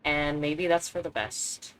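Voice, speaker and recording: A woman's voice: low-pitched, unhurried, fairly bright tone. A woman streamer giving casual gameplay commentary, musing to herself. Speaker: game streamer. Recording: faint background noise and game ambience.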